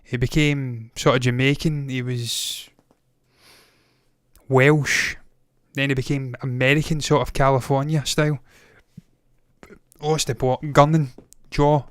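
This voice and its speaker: A man's voice: medium pitch, level tone, slow pace, long pauses, fairly bright tone, clean tone, dry recording, studio quality. A man in his thirties hosting a movie news show, talking casually, with rambling opinionated asides. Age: 30s.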